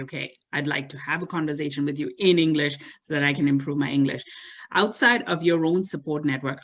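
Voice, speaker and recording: Rambling male interviewer, higher voice. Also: fairly bright tone. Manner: rambling questions with restarts. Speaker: interview host. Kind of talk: interview questions.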